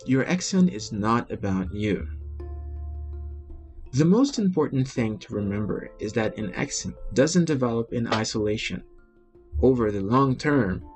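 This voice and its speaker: Man reading an article over soft music. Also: mid-range voice. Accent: Brazilian Portuguese. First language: Portuguese. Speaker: language learning video host. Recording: faint music behind.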